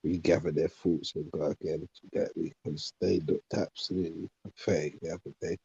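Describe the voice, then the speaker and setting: A man's voice: rumbling low, flat delivery, brisk, darkish tone, husky, no echo. A man chatting casually about football as a podcast guest, in slangy speech on a muffled recording. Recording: dull, muffled lo-fi sound.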